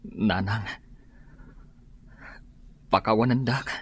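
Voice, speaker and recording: A man's voice: fairly low pitch, drawn-out words, slightly rough, exaggerated voice. A man playing a remorseful, penniless grown son who pleads with his mother in an Ilocano radio drama, earnest and apologetic.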